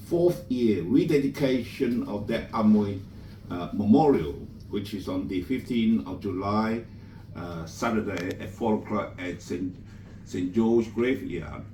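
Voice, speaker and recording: A man's voice: fairly low pitch, a little mellow, a little hiss or hum. A man from Malaysia, master of ceremonies at a book launch, telling chatty anecdotes in slightly non-native English. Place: bookshop event room. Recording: roomy, from a distance.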